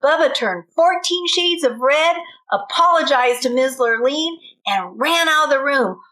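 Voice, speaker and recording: A woman reads a picture book aloud for young children. Her voice is high and animated, and she takes it slowly, like a teacher. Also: rough recording. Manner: expressive, addressing young children with questions. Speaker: kindergarten teacher reading aloud. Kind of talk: children's storybook read-aloud.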